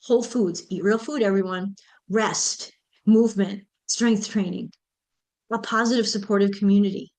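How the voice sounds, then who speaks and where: A woman's voice: medium pitch, fairly even intonation, normal speed, darkish tone. A woman, hosting a motivational solo podcast and speaking to listeners directly in an encouraging way. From United States. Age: 50s. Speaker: podcast host and menopause mentor.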